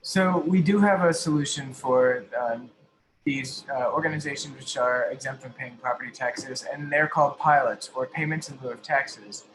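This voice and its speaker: A man giving an explanatory advocacy presentation as an activist, halting and often correcting himself mid-sentence. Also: medium pitch. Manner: halting, frequently self-correcting. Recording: faint background noise.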